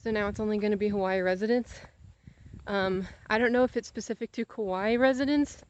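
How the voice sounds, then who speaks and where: A woman's voice: mid-range voice, fairly quick, muffled recording, a little hiss or hum. A young adult woman chatting casually and confessionally to a camera, animatedly retelling conversations. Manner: animated, acts out quoted conversations. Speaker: vlogger.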